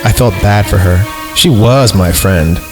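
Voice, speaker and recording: A man with a fairly deep voice hosts a horror podcast, reading stories aloud. He slips into casual, sarcastic asides in an irreverent way. Music plays clearly behind him. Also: unhurried. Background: background music.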